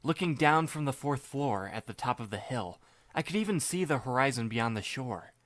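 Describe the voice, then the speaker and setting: A young man narrating in the first person, his mid-pitched voice dry and wry, with understated asides. The sound is close and dead, with no room behind it. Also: lively intonation, normal speed, fairly bright tone, no echo. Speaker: narrator and lead reader.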